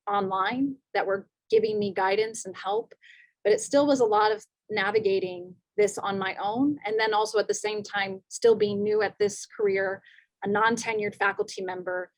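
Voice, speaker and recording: A woman's voice: mid-range voice, no echo, poor audio. A woman faculty member speaking on a panel, recounting her experience steadily and listing items one by one. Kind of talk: panel discussion, personal account.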